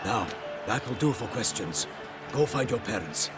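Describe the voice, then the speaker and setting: A man's voice: lowish voice, lively intonation, unhurried. A man playing a proud, courtly knight in a video game, with formal and flourishing phrasing. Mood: genial, formal. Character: courtly, proud knight escorting a guest, storyteller to children. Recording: loud game music behind.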